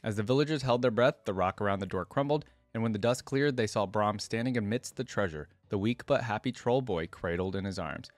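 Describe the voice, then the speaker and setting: A man with a fairly low voice gives casual reaction commentary and reads lore aloud. His delivery is informal, as if thinking out loud, and the sound is dry and close. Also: a little fast, few pauses, no room sound, faint music behind. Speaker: reaction video host.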